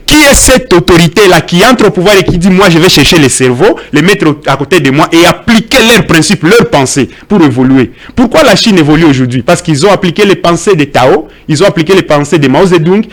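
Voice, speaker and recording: A Congolese man whose first language is Swahili, making an impassioned debate speech full of rhetorical questions. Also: on the high side. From Congo (DRC). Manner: rhetorical questions, emphatic and preachy. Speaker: debate guest.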